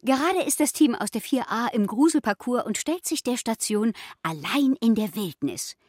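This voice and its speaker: An overexcited woman scientist with a high, very animated voice. She is halting and keeps justifying herself, as in a children's radio play, captured close and dry. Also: no room sound. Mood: pleased, eager. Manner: flustered, halting, self-justifying. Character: nervous, overexcited female scientist, project leader of fear programme.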